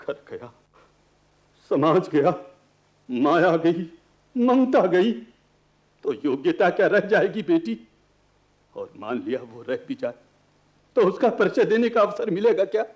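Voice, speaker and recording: A man's voice: high-pitched, sing-song, slow pace, pauses often, darkish tone, heavily acted. An actor playing an older, ruined lawyer and affectionate father in a radio play, speaking with poetic, ironic self-mockery. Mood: sorrowful, resigned. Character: ageing, embittered but affectionate father, a ruined lawyer given to verse. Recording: muffled archival radio recording.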